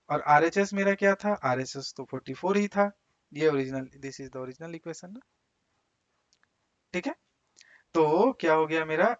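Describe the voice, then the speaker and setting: A man's voice: a little high, fairly bright tone. A man with an Indian accent teaching school maths step by step, often posing questions and checking along the way. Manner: explains step by step with frequent rhetorical questions and check words. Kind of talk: school maths lecture in Hindi mixed with English. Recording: dull lo-fi recording.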